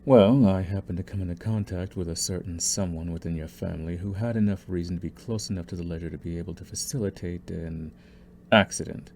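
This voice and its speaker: A low, deep male voice of an actor plays a young mafia boss in an audio roleplay. He is smug and possessive, taunting the listener with theatrical, condescending menace. Some faint noise sits behind the voice. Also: fairly even intonation, fairly quick, gravelly, darkish tone. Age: young adult. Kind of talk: scripted ASMR audio roleplay.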